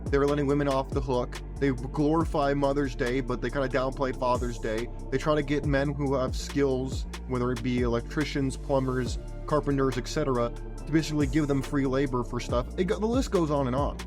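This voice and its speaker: A man hosting a podcast, his voice partly gone and hoarse, talking in a provocative, sarcastic, lecturing way. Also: mid-range voice, steady tone, fairly quick, over music. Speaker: podcast host. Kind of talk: opinionated podcast monologue.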